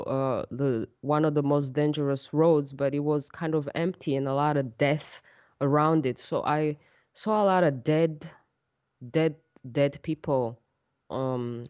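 A woman with a Rwandan accent and a notably low, resonant voice, recorded close and dry. She speaks as an interview guest, reflecting on her own life. Her delivery is thoughtful and halting, often searching for the right word. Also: a little mellow, clear, resonant tone, no room sound. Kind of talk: reflective personal storytelling in an interview.

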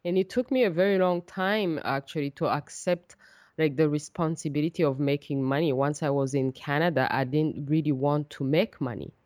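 A woman with a Rwandan accent telling her personal story as an interview guest, in a halting, thoughtful way as she searches for words. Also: low-pitched, a little mellow, no echo, clean studio sound.

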